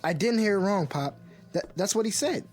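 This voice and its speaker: Earnest, high-voiced American son. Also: male voice, expressive, normal speed, a little mellow. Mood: insistent. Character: earnest, dutiful young son. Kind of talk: radio drama acting.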